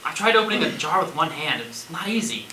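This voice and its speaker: A man's voice: a little high, lively intonation, quick pace, sharp tone. A man acting in a stage play as a wry, rambling man facing death in a zombie apocalypse. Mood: anxious, musing. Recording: echoey stage from far off, noisy.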